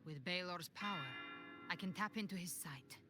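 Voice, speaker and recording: An actress voicing a capable young woman ally in a game, speaking in a brisk, commanding way. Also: fairly low pitch, lightly acted. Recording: game audio with music behind.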